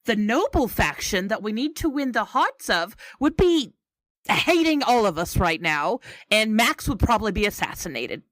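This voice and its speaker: A woman's voice: medium pitch, sing-song, moderate pace, fairly bright tone, no echo. A woman playing a firm, protective friend in an improvised tabletop adventure, scolding and reassuring emphatically. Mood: matter-of-fact. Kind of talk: in-character improvised tabletop roleplay.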